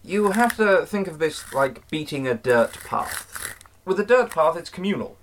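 A man playing an earnest, cryptic occult investigator and mentor in an audio drama, explaining things with slightly awkward, halting restarts. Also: a little high, very animated, fairly bright tone, a little hiss or hum.

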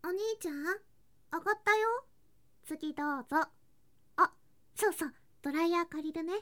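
A woman voicing a sweet, affectionate younger girl cousin in a Japanese ASMR situation drama, in a cutesy, clingy manner with soft whispery touches. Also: extremely high pitch, moderate pace. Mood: cheerful.

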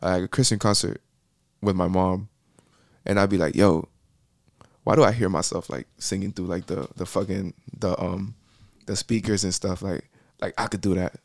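Young guy from miami, kinda low voice, rambly chat, dry close mic. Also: fairly even intonation, normal speed, gravelly, no room sound. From Miami, Florida. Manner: rambling, lots of "like" fillers. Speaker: podcast guest. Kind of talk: casual interview chat.

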